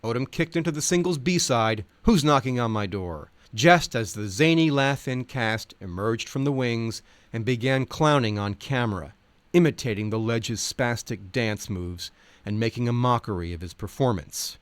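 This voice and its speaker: A man's voice: mid-range voice, normal speed, clear, resonant tone, dry recording. A man reading nonfiction aloud as a narrator, in an articulate, wry, wordy style.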